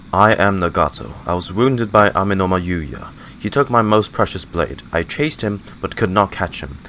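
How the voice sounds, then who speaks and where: A man's voice: on the low side, fairly bright tone, slight background noise, rough recording. A man doing casual game reaction commentary, muttering asides and reading text aloud. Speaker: gamer reacting on stream. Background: game music.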